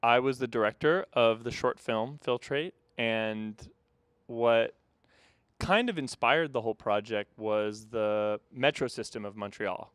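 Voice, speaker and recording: Smooth-voiced, measured man filmmaker. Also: medium pitch, a bit bright, no echo. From California. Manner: measured, explanatory, some fillers. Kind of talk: casual interview answers.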